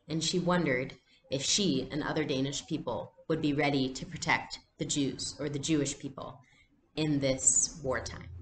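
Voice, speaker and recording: A woman reading a storybook aloud as a teacher, in an expressive style with explanations. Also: deep voice, roomy sound, a little hiss or hum, poor audio.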